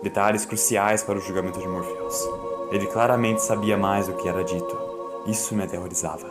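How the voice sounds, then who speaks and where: Solemn male narrator over loud music. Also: fairly low pitch, fairly even intonation, fairly quick. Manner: solemn, literary, theatrical. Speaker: audiobook narrator. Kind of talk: dramatic scripted first-person narration.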